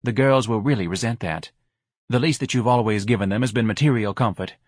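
A man's voice: lowish voice, fairly quick, husky, no room sound, studio quality. A man voicing a melodramatic, self-righteous middle-aged wife in an audiobook, grandly reproachful and scornful. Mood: martyred, reproachful. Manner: overwrought, martyred, grandly reproachful.

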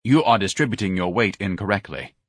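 Pompous whiny fat man for an audiobook, husky, indignant, swoops all over. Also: medium pitch, sing-song, normal speed, a bit bright, no echo, clean studio sound, voice pushed up. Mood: didactic. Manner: pompous, didactic, melodramatic. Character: obese, pompous, whining man, indignant blusterer.

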